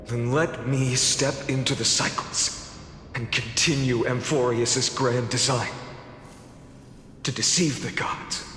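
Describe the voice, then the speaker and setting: A man playing a stoic, self-sacrificing young warrior in a video game, speaking gravely and earnestly in a measured way. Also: mid-range voice, fairly even intonation, fairly bright tone, a little hiss or hum. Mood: grave, resolved. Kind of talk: dramatic video game voice acting. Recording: echoey long reverb, music behind.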